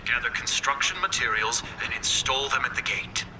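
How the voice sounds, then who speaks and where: An actor playing a grave, secretive scientist of the stars in a video game, speaking in a solemn, halting, formal way with a hushed, breathy voice. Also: male voice, medium pitch, expressive, background noise, natural voice. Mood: guarded, formal. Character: grave, secretive cosmic scientist serving a champion. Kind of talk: video game audio log acting.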